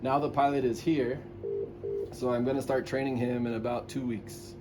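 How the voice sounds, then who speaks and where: Man talking casually over loud background noise. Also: medium pitch, little pitch movement, normal speed. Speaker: helicopter pilot and instructor. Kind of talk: casual discussion. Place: airport control tower.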